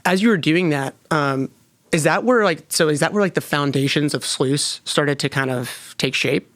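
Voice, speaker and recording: A man from North Carolina hosting a podcast interview, talking in a relaxed, chatty way with lots of fillers. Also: a little high, normal speed, no room sound. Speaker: podcast host. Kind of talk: casual interview.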